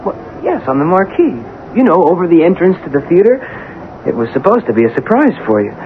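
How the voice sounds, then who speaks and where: Placating theater assistant, man, stammering and wry, fairly high voice, vintage radio drama with faint noise. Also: very animated, a little fast, phone-quality sound. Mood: placating, flustered. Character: harried, placating theatrical producer's male assistant. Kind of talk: 1970s radio drama acting.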